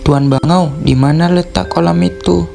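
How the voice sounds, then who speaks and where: A naive little fable crab, voiced by a man, trusts easily and asks questions. The male voice is on the high side, over a faint bed of music. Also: sing-song, moderate pace, gravelly, a little mellow. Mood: uneasy.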